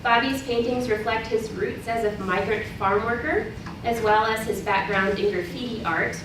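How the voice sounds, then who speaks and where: A woman moderating a symposium, reading out speaker introductions with a few fillers. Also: mid-range voice. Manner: warm, welcoming introductions with occasional fillers. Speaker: event moderator. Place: college symposium hall. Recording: echoey hall, mic far off, noisy.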